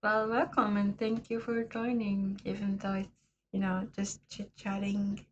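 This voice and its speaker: Woman, raspy, hoarse, slow relaxed pace, casual livestream chat, laughs often. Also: medium pitch, lo-fi sound, a little hiss or hum. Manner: laid-back, laughs often. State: hoarse today. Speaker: livestream host.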